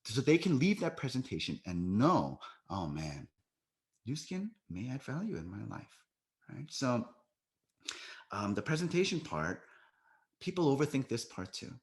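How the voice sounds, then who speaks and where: An American man leads a sales training session over a video call. His pitch rises and falls a lot as he coaches, and he keeps checking in with his listeners with a quick "right?". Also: sing-song. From United States. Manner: coaching, often tags "right?".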